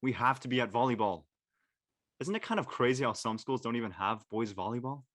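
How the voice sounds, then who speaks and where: A man playing an earnest, nervous high school senior with a casual, slangy, hesitant delivery in an audio play. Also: expressive, a little fast, a bit bright, dry recording, clean studio sound, natural voice. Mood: teasing, urging. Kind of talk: naturalistic dialogue in a live-streamed audio play.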